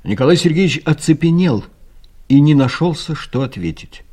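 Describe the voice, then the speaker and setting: A man's voice: on the high side, lively intonation, normal speed, a little mellow, breathy edge. A man reading scripted literary narration for an audio play, telling the story expressively.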